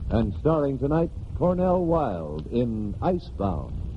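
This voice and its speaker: A man's voice: mid-range voice, very animated, slow pace, very noisy. A man announcing a 1940s radio program in a grand, declamatory style. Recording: dull 1949 radio recording, loud music.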